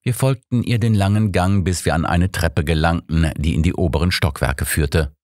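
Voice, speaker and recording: Low male audiobook narrator, measured storytelling, really dry recording. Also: darkish tone, dry recording. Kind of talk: scripted first-person mystery narration.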